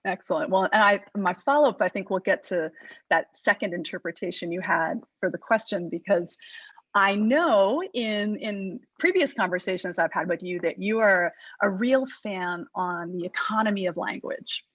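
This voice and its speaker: A woman's voice: mid-range voice, normal speed, a bit bright, no room sound, dull audio. Woman moderating, dry close sound. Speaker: interviewer, moderator. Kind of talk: conversational interview moderation.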